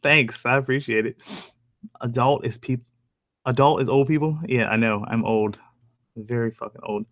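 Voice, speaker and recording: A young man with a soft voice and a middling pitch hosts a casual livestream. His talk rambles along in loose slang with plenty of swearing. The sound is close and dry, with little room to it. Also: moderate pace, pauses often, dry recording. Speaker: livestream host, horror story narrator. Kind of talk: casual livestream chat with viewers.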